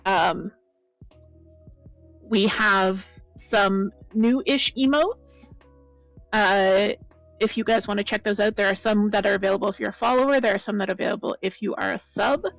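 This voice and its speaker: Croaky woman on a blown-out mic. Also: mid-range voice, a bit bright, rough recording. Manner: chatty, rambling, greets viewers by name. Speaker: streamer. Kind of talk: casual stream chat.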